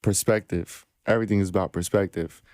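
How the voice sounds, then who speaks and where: A man's voice: deep voice, fairly even intonation, brisk, darkish tone, no echo. A man co-hosting a podcast, prompting the guest in a laid-back, slangy way. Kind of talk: casual podcast interview.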